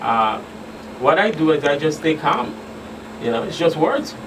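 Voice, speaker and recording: A man's voice: a little high, lively intonation, measured pace. Man, distant and noisy recording. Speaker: guest expert, professional counselor. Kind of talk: radio talk show interview. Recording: distant mic, clearly noisy background.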